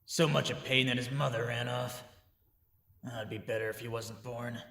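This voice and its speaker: A man's voice: medium pitch, fairly even intonation, moderate pace, gravelly, a bit bright. An actor playing a callous, commanding father who scorns his own son, in an audio drama. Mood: contemptuous. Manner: harsh, contemptuous. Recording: echoey hall heard from far off.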